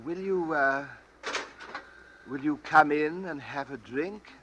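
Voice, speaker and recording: An actor playing a nervous, genteel, suspicious bachelor in an old-time radio drama, hesitant and stammering but polite. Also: male voice, fairly high pitch, slow pace, muffled recording. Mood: uneasy. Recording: faintly noisy old radio recording.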